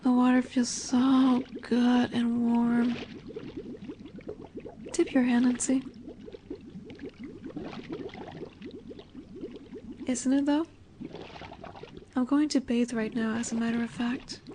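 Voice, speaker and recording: A woman voicing a nurturing, gently commanding lamia in an intimate fantasy roleplay audio, soft and affectionate. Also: a little high, little pitch movement, slow pace, long pauses, noisy background. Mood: inviting, gently teasing. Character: dominant, nurturing female lamia, a serpent monster girl. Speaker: voice actress.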